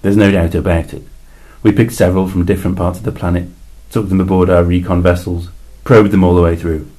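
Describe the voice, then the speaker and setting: A man's voice: sits low, little pitch movement, a little fast, mellow, poor audio. A man voicing a weary male alien envoy, explaining in a dry, exasperated way with sudden emphatic rises in a two-voice science fiction story reading.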